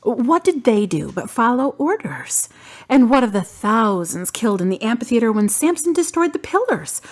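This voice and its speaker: A woman acting in a radio drama as a grieving adult daughter full of doubt, pensive and questioning, with a breathy voice. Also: a little high, sing-song, normal speed. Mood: doubting, challenging. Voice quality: husky, breathy.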